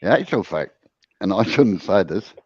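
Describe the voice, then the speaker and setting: A man's voice: low-pitched, sing-song, normal speed, a little mellow, husky, no echo, muffled recording. An Australian man hosting a woodturning livestream, chatting casually with viewers in a laid-back, drawling way and sounding breathless. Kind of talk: casual chat with viewers and co-host.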